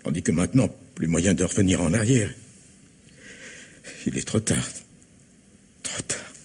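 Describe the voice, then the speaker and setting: An actor playing an earnest, bereaved middle-aged husband in a vintage French radio drama, grave, halting and formal. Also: male voice, sits low, animated, a little mellow, breathy edge, full character voice. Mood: stunned, grieving. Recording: faintly noisy old radio recording.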